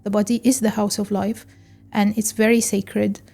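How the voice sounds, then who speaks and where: A woman from Egypt hosting a solo podcast, speaking in a calm, measured way with pauses and fillers. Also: mid-range voice, creaky, soft background music. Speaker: podcast host. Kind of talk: reflective solo podcast talk.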